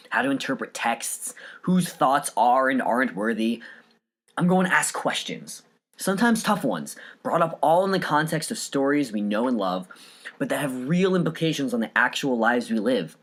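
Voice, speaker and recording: A man's voice: mid-range voice, a bit bright. A man hosting a solo podcast, reading scripted narration in an earnest, enthusiastic way. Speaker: podcast host.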